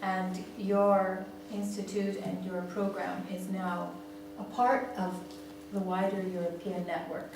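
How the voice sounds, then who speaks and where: An unhurried woman in her sixties speaks English with a Greek accent as a seminar trainer, pausing on many "um"s and asking "yeah?". She sounds far from the mic, in a strongly echoing room with clear background noise. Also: mid-range voice, flat delivery. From Greece. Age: 60s. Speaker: seminar trainer in family therapy. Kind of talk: lecturing a seminar.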